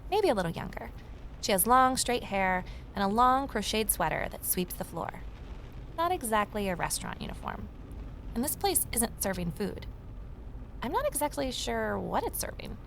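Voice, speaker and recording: A woman's voice: on the high side, sing-song, moderate pace, creaky, slight background noise, poor audio. A woman playing a curious, determined investigator who narrates an audio drama in a reflective, conversational way, with ambience behind her. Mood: observant, unsettled. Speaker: narrator and lead character. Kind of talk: first-person audio drama narration and dialogue.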